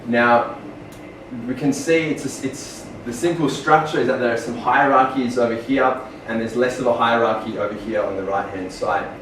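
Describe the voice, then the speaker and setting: A man's voice: medium pitch, steady tone, moderate pace, a bit bright. Australian male speaker, earnest talk, distant mic, echoey room. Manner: earnest, with many ums and restarts. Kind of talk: public presentation. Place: small venue, far from the mic. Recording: echoey room from far off, noisy.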